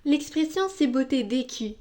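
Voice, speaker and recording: Woman voicing a high-pitched confident demon assassin. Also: normal speed, noisy background, close to an everyday voice. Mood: calm, coaxing. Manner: coaxing, matter-of-fact. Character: confident, practical, encouraging female demon assassin. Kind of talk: animated comedy fan dub. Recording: music behind the voice.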